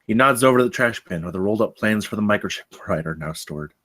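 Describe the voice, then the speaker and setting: A man's voice: lowish voice, animated, fast, a bit bright. A man narrating the scene headings and stage directions at a screenplay table read.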